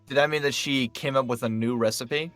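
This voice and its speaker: Man chatting haltingly, music behind. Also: medium pitch, steady tone. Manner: halting, repeats sentence starts. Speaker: co-player on a game stream. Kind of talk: casual chat.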